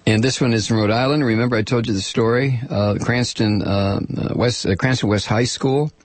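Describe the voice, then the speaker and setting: An American man hosting a political talk show in an opinionated, sarcastic and indignant monologue, with dramatic emphasis and a gravelly, husky voice. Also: fairly even intonation, moderate pace, dry recording. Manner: dramatic emphasis, swinging from low asides to shouts. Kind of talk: opinionated radio monologue, reading and commenting on listener mail and news.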